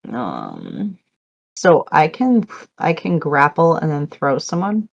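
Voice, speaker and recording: A woman playing a tabletop game, chatting casually and thinking aloud in a halting way. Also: sits low, wildly swooping pitch, vocal fry, dry recording, dull audio.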